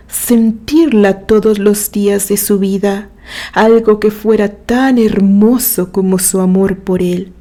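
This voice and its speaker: A woman narrates a literary story at a slow, measured pace. Her voice is soft-edged and mellow, and she reads with expressive sentiment. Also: medium pitch.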